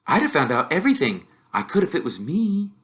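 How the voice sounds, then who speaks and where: Guy doing a know-it-all little witch girl from a storybook, high n bright, prim bossy chatter. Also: wildly swooping pitch. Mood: bossy, chatty. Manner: prim, brisk, self-assured chatter.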